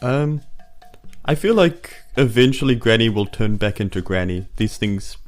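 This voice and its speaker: A man's voice: fairly low pitch, lively intonation, normal speed, faint music behind. A man playing a tabletop game, chatting in an informal, joking way. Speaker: player in a tabletop game podcast. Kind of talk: casual improvised game chat.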